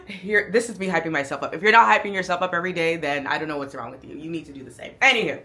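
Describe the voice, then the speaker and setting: Woman with a low voice, chatty and upbeat. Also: animated, fast, bright, forceful tone. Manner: chatty, upbeat, playful slang, hypes herself up. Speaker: fashion and lifestyle vlogger. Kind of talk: casual outfit breakdown to camera.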